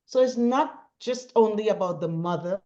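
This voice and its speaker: A woman's voice: medium pitch, normal speed, mellow. Talkative middle-aged woman, dull lo-fi sound. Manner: talkative, emphatic, repeats phrases for stress. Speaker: interview guest, bereaved mother. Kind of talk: personal testimony in conversation.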